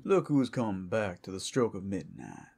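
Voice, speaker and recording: A man's voice: deep voice, wildly swooping pitch, moderate pace, gravelly, darkish tone, slightly rough, exaggerated voice. A man voicing a suave, predatory male werewolf in an ASMR roleplay, speaking in a flirtatious, teasing drawl. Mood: sly, welcoming.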